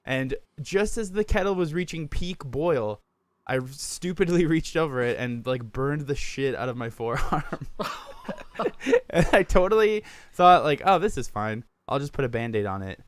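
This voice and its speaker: A man co-hosting a podcast in casual chat, raspy from a sore throat, joking at his own expense and laughing often. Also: on the high side, animated, normal speed, dry recording. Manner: self-mocking, laughs often. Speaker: podcast co-host.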